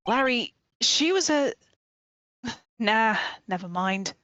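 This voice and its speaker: A woman's voice: fairly high pitch, lively intonation, slow pace, sharp tone, a little hiss or hum, full character voice. A woman voicing an earnest, slightly exasperated young male rookie lawyer in a video game, plain-spoken and hesitant. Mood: exasperated, flustered.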